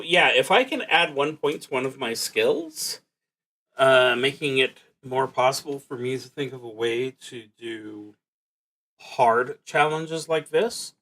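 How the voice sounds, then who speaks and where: A man with a mid-pitched voice streams a solo roleplaying game. He speaks slowly, thinking through his choices aloud. His delivery is halting and musing, often trailing off before he picks the thought back up. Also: fairly bright tone. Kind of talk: unhurried thinking aloud while playing a solo tabletop game.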